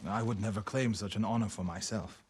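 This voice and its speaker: A lowish, earnest male monk , voiced by an actor. He is a gentle and humble fighter from a game drama, heard over clear background noise. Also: steady tone, a little fast, natural voice. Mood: humble, sorrowful.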